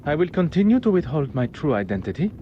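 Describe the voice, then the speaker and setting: An actor playing a shrewd, courteous, self-assured detective with a Belgian French accent, speaking formally and precisely in a game. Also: male voice, on the high side, very animated, quick pace, muffled recording, slight background noise. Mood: measured, probing. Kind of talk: scripted game dialogue and narration.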